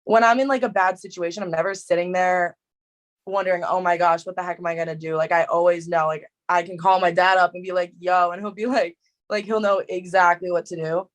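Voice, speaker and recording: A woman's voice: lowish voice, fairly even intonation, a little fast. A young woman from New Jersey with a Jersey accent, chatting as an interview guest and filling her talk with "like". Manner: chatty, lots of "like" fillers. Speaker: guest, social media creator. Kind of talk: casual interview chat.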